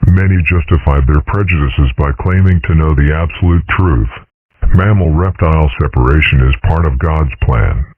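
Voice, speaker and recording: Dragon dad for cartoon dub male actor: extremely low, measured deadpan lecturer, dryly mischievous, tinny phone-like audio. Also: breathy edge, no echo. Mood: measured, wise. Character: calm philosophical father dragon, dryly mischievous. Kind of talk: comic dub voice acting. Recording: muffled, phone-like narrow sound.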